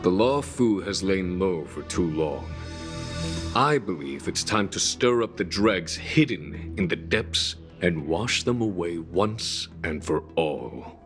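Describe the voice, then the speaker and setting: A male actor playing a calm, shrewd, world-weary general in a video game, speaking in a measured, formal way. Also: sits low. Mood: calm resolve. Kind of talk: scripted video game dialogue. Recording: game music behind the voice.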